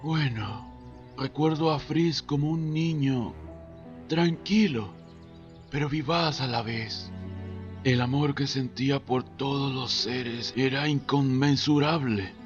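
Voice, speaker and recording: A man performs a concerned, fatherly cartoon character with measured, formal delivery. His voice sits mid-range and moves very slowly. Music plays clearly behind a slightly dull recording. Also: mid-range voice, natural voice. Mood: gentle, reassuring. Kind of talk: Spanish fandub voice acting.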